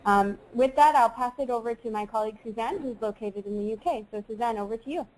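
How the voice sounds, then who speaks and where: Woman, twenties, webinar host, brisk, friendly, lots of ums. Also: fairly high pitch, a little mellow, poor audio. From Saudi Arabia. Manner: quick, friendly, many ums. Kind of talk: presenting a webinar.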